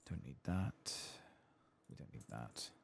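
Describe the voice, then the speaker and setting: A man speaking softly and at times whispering in ASMR gameplay commentary, murmuring and musing to himself close to the microphone. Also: extremely low pitch, lively intonation, slow pace, vocal fry, slight background noise. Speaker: host of a gaming ASMR channel.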